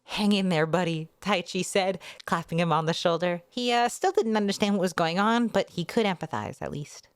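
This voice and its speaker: A woman reading a light novel aloud as a streamer, in expressive audiobook-style narration. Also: fairly low pitch, a little fast, hardly any pauses, no echo, clean studio sound.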